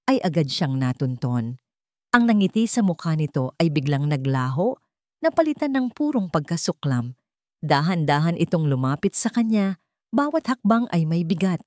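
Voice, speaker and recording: A woman's voice: lowish voice, a little mellow, dry recording, studio quality. A woman reading a scripted dramatic story as its narrator.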